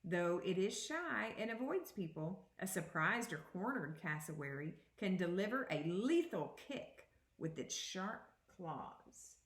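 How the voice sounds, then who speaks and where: A woman reading a children's picture book aloud as a second-grade teacher, with deliberate, explanatory phrasing. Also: on the low side, big pitch swings. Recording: echoey room.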